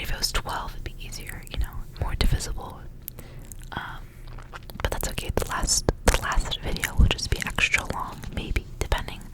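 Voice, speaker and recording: A woman whispering softly in a breathy, rambling ASMR style, as a host reading and chatting casually. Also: rough recording. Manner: soft, rambling, repeats words.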